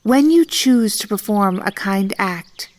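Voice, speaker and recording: A woman narrating a scripted children's story in a deliberate, expressive storytelling manner. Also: mid-range voice.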